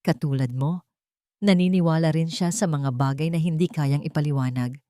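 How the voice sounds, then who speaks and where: A woman voicing a grave, remorseful male billionaire in an audio drama, speaking in a halting, earnest way. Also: low voice, mellow, dry recording, clean studio sound, lightly acted. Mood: confessing, pained. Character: remorseful, commanding billionaire father confessing and apologizing.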